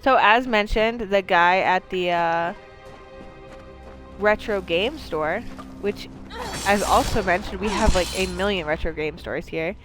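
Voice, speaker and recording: A woman's voice: mid-range voice, unhurried, a bit bright, soft background music. A woman from Maine streaming a video game, talking in a rambling, chatty way with lots of fillers and swearing. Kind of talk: casual let's-play chat.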